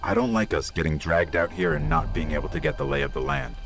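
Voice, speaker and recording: Low, creaky, wry robot companion. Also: male voice, very animated, fast talker, fairly bright tone, faint music behind, exaggerated voice. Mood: pensive, wary. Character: small AI robot companion, wry, inquisitive scout. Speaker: guide companion. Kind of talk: cinematic video game voice acting.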